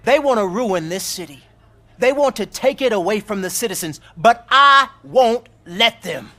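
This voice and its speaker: An actor playing an indignant, combative crusading politician in a video game, declaiming like a stump speech. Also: male voice, high-pitched, animated, moderate pace, bright, forceful tone. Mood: rousing. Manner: declamatory, impassioned.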